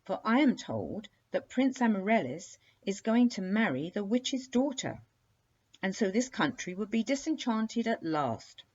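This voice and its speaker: A woman's voice: medium pitch, big pitch swings, a little slow, a little mellow, breathy edge, highs cut off, voice pushed up. Woman as a chatty, lilting storybook fish. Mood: gossipy. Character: chatty, easygoing skate fish in fairy tale.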